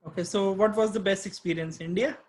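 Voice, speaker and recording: A man's voice: high voice, steady tone, a little fast. Man asking simple interview questions. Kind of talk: casual interview.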